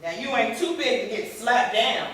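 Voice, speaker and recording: In a heavily echoing room with some hiss, a woman plays a taunting American aunt in a stage play. Her high voice swings widely, dripping folksy sarcasm at a grown nephew. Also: animated, moderate pace, a bit bright. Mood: mocking. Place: staged reading in a reverberant room. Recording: echoey room, distant mic, noisy.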